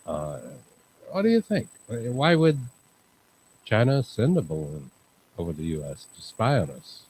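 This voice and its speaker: A man with a fairly low voice hosts a casual live chat show. He talks in a calm, easygoing way and wanders through his points with lots of "uh"s. Faint noise sits behind him. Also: a little slow, poor audio. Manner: calm, rambling, many fillers. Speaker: host.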